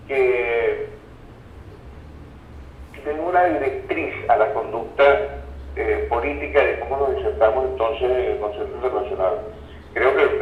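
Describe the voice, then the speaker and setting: Venezuelan man on a tinny, echoey line. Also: medium pitch. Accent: Venezuelan Spanish. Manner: halting, deliberate, repeats words for emphasis. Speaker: interview guest, psychologist. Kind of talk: reflective interview talk. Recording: tinny phone-like line, noisy, echoey, distant.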